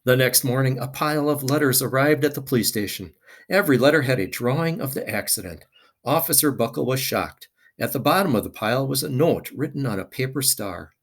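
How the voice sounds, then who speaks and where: A man's voice: mid-range voice, animated, fairly quick. A man reading a children's picture book aloud expressively, with dramatic emphasis.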